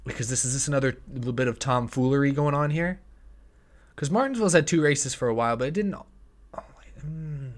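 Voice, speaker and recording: A young man in his twenties hosting a casual livestream, thinking aloud and correcting himself, a bit hoarse, on a close studio mic in a small room. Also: medium pitch, brisk. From United States. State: slightly hoarse, tickle in throat. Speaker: livestream host. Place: small home streaming room. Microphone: headset and studio mic on arm.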